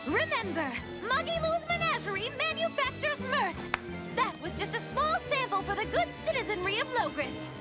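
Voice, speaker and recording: A woman's voice: extremely high pitch, big pitch swings, normal speed. A woman voicing a mischievous, bossy young magician troupe leader in a video game, with pompous, florid showmanship. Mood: grandiose. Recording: loud music behind the voice.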